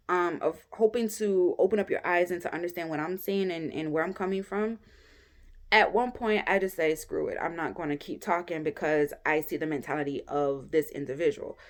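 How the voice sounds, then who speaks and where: Chatty young woman, slightly low. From United States. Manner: candid and chatty, many "um" pauses, speeds up and slows down. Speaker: vlogger. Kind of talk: casual talking-head vlog.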